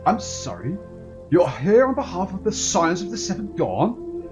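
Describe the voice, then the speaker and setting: A man's voice: sits high, wildly swooping pitch, a little slow, over music, pitched above the performer's own voice, full character voice. A man voicing a polite, dutiful door guard in a fantasy game, formal and deferential. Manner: formal, apologetic.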